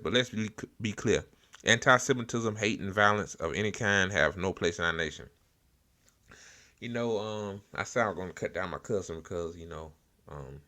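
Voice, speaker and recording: An American man hosting a political commentary show, speaking casually and unscripted in an informal, halting way. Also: low voice, bright, forceful tone. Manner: informal, hesitant, halting delivery with blunt slang.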